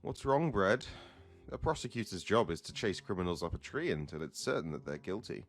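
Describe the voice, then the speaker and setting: A man's voice: low-pitched, very animated, brisk, faint music behind. A man playing a stern, dignified prosecutor in an audio drama, formal and firm in his speech. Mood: resolute. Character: stern, dignified male prosecutor, dryly principled. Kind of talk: amateur audio drama acting.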